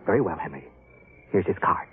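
A man's voice: sits low, expressive, a little fast, phone-quality sound. A man playing a smug, scheming, genial husband in an old-time radio drama, with a breezy theatrical manner. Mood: amused, confiding. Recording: band-limited old radio broadcast recording.

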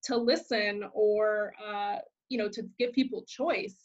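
A woman's voice: medium pitch, fairly even intonation, unhurried. A woman hosting a conversation, speaking thoughtfully and a little hesitantly, often restarting her sentences. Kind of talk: conversational interview. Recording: dull lo-fi sound.

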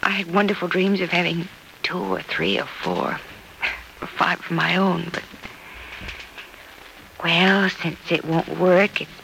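An actress playing a selfless, earnest young American daughter in a sincere, emotive old radio soap opera style. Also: female voice, on the low side, lively intonation, slow pace, vocal fry, pauses often, exaggerated voice. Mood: wistful, earnest. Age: young adult. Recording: noisy vintage radio drama recording.

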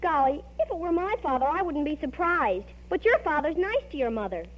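An actress playing a movie-mad teenage best friend in an old radio comedy, gushing and knowing, with emphatic delivery. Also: female voice, high-pitched, sing-song, fairly quick. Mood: worldly-wise. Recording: muffled old radio recording, hum.

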